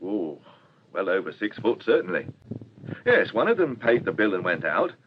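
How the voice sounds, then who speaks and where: An actor playing a courteous, talkative and somewhat bewildered cafe owner in a radio drama. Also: male voice, lowish voice, very animated, normal speed. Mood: chatty, explaining. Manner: polite, chatty, explanatory. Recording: dull radio recording with faint hiss.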